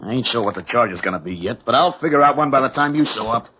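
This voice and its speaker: Man as sceptical rural policeman, medium-pitched, gruff folksy radio drama delivery, clear background hiss. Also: animated, fast talker, close to an everyday voice. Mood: annoyed, sarcastic. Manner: folksy, sarcastic, uneducated speech. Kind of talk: old-time radio drama acting. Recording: muffled, noisy old radio recording.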